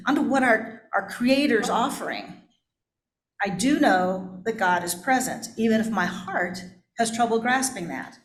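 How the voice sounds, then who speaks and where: A woman pastor giving a personal, confessional sermon in a candid, self-deprecating way. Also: fairly high pitch. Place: church, indoors. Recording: echoey room.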